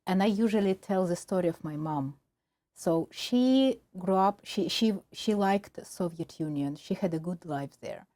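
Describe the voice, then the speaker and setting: A woman's voice: lowish voice, measured pace, mellow, no room sound. A Ukrainian woman, a native Russian speaker, answering interview questions with many fillers and restarts. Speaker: film producer and guest.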